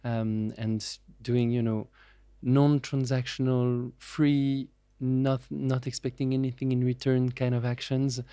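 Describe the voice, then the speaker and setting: A man in his thirties speaks as a podcast guest. His delivery stays level, with little rise and fall. The tone is mellow, and he pauses often to gather his thoughts. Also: mid-range voice, level tone, smooth, dry recording. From France. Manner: measured, reflective, with frequent pauses and fillers. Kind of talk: casual interview.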